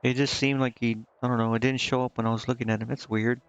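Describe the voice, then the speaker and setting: A man streaming a video game, casually thinking out loud and reading menu text to himself, with some offhand swearing. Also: medium pitch, level tone, quick pace, clear, resonant tone, highs cut off, soft background music. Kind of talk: casual live gameplay commentary, muttering and reading menu text aloud.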